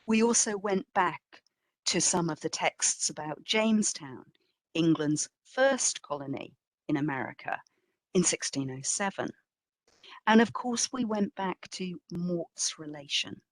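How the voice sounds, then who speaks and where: A woman delivers a history lecture at a very unhurried pace, in a slightly low voice. She stresses key phrases and puts questions to her listeners as she goes. The sound is dry and close, with little room around it. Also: no echo. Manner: measured, emphatic phrasing with rhetorical questions. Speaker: exhibition curator giving a history talk. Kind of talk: public lecture, presenting to an audience with slides.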